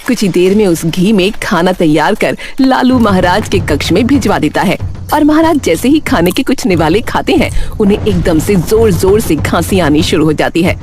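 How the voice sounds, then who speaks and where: A woman narrates a scripted tale. Her delivery is expressive, with lively rises and falls in pitch. Quiet music plays behind her. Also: moderate pace. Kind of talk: scripted story narration in Hindi.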